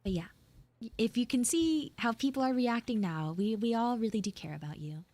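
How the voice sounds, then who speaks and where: A woman livestreamer chatting casually and haltingly with her live viewers, in an informal way, close on the mic. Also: on the high side, mellow. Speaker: livestreamer and VTuber host.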